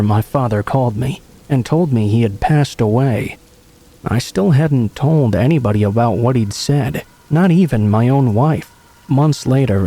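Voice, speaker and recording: A man calmly narrating true horror stories in an even, scripted read. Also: mid-range voice.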